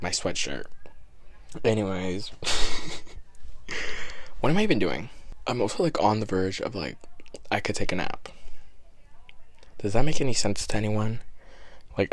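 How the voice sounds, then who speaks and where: A young man in his twenties with a raspy voice, hosting a casual solo podcast and chatting in a rambling, self-deprecating way, a little out of breath. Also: fairly low pitch, no echo. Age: 20s. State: slightly out of breath. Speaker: podcast host. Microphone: podcast microphone.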